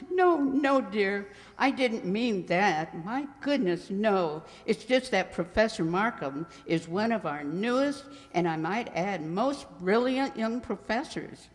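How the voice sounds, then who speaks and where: A woman acting a fluttery, excitable spinster librarian in a radio play, often stammering and flustered. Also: mid-range voice, takes it slowly, few pauses. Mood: gushing, fluttery. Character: fluttery, gushing spinster college librarian, Miss Twig. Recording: reverberant hall.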